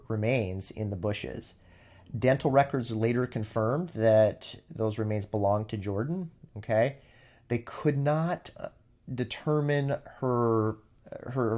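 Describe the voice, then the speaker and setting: Man, lowish voice, true crime host, informal commentary, halting delivery, repeated words, frequent check-in tags. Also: a little mellow. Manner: halting, repeats words, seeks assent with "right?" and "okay?". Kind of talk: informal case commentary, partly reading from notes.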